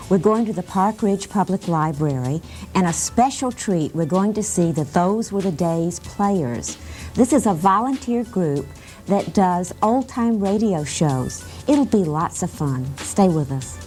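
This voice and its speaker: A woman's voice: fairly low pitch, a little mellow. A woman host delivering a scripted introduction to a program. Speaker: host, library system director.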